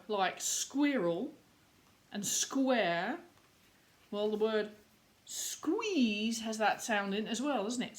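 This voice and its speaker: A woman reading a picture book aloud to young children in an expressive way, with chatty explaining asides. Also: mid-range voice.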